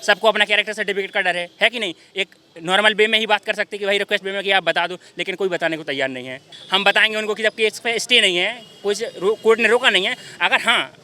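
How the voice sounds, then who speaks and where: Sharp, high-voiced young man. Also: fast talker. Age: young adult. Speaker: job candidate at a protest. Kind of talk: street interview. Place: outdoors by a city street.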